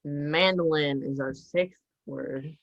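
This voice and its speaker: A man with a fairly high voice co-hosts a casual lesson. He speaks in short, matter-of-fact prompts. The recording is a little dull. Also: fairly even intonation, slow, creaky, fairly bright tone. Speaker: co-host reading vocabulary words. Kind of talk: casual educational co-hosting. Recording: dull, muffled sound.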